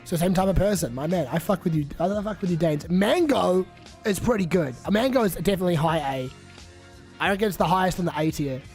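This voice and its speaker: Sick kiwi guy streaming, swears a lot, rambles, reads chat, kinda high voice. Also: fast, darkish tone, soft background music. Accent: New Zealand. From New Zealand. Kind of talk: casual live-stream chat.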